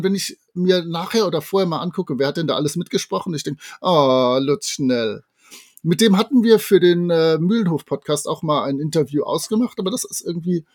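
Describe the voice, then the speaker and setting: A man's voice: high-pitched, darkish tone. A man chatting enthusiastically and casually as a co-host on a fan podcast. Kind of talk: casual fan podcast chat about audio dramas.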